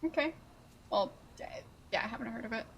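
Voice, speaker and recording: A woman streamer chatting casually with her viewers, relaxed and amused as she answers their guesses. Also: fairly high pitch, brisk, creaky, slight background noise.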